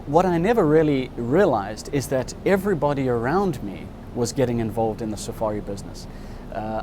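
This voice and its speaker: A candid man in his fifties recounts his past in a thoughtful, earnest way. His voice sits at an ordinary middle pitch. A steady rumble of outdoor traffic hums clearly behind him. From Zimbabwe. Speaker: interviewee, subscriber. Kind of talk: personal storytelling in an interview.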